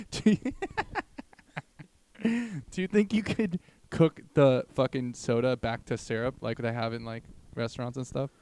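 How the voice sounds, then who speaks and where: A man's voice: mid-range voice, a little slow, darkish tone. A young man hosting a comedy podcast, chatting casually with crude, slangy banter. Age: young adult. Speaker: podcast host.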